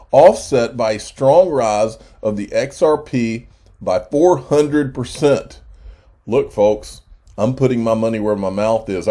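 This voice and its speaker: A man's voice: medium pitch, mellow. A congested man with a cold, hosting his own channel in rambling, chatty commentary. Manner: rambling, chatty, restarts often. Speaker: crypto channel host. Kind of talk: casual commentary.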